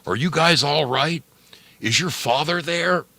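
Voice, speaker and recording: Man acting out an anxious high-strained caller. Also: a little slow, gravelly, dry recording, heavily acted. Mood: anxious, calling. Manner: loud, strained calling. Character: anxious man calling out for two girls. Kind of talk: dramatized ghost story reading.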